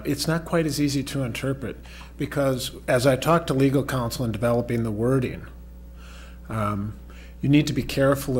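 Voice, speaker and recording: A man's voice: mid-range voice, a little hiss or hum. A man at a school board meeting carefully explaining a resolution, with many fillers, speaking into a desk microphone. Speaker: district official explaining a resolution.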